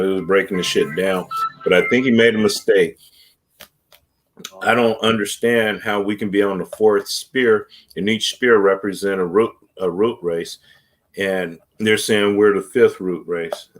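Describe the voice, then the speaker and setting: A man's voice: fairly low pitch, fairly even intonation, unhurried. A man in casual chat, talking emphatically and swearing freely.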